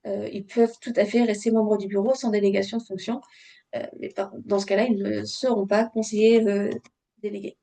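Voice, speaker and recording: Woman presenter, soft-toned and halting. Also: medium pitch, little pitch movement, gravelly. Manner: hesitant, halting delivery with frequent filled pauses; didactic, addresses listeners directly. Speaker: webinar presenter on local government law. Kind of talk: explanatory webinar presentation from slides.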